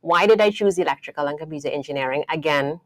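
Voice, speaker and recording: Woman, Trinidadian accent, thirties, low voice, reflective interview guest. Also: quick pace. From Trinidad and Tobago. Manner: thoughtful, warm storytelling with frequent fillers. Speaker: guest engineer. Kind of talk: podcast interview.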